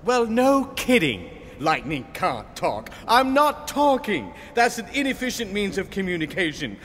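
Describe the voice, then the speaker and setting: A man's voice: high voice, big pitch swings, measured pace, a bit bright, heavily acted. A man voicing an impatient, sarcastic talking lightning, a wordy life force in a comic sci-fi audio play. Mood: exasperated. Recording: long reverb, faint background noise.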